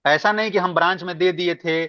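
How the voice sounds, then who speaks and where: Guy on a conference call explaining rules, emphatic, dry muffled sound, highish voice. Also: fairly even intonation, a little fast, bright, forceful tone, dry recording. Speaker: meeting speaker giving guidance. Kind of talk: explanatory work meeting talk. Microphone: online conference call.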